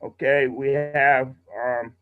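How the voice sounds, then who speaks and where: A man's voice: medium pitch, fairly even intonation, slow pace, smooth. A man instructing a class in a casual, patient, step-by-step way over a video call. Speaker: instructor leading a radio training class. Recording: muffled, roomy video call audio.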